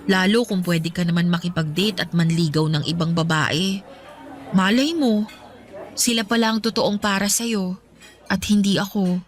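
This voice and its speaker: A woman's voice: on the low side, normal speed, music in the background, lightly acted. An actress playing a young working woman who hesitantly and apologetically explains why she is not ready for romance. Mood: doubtful. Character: young working woman caring for her family. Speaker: radio drama actress. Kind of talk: radio drama acting.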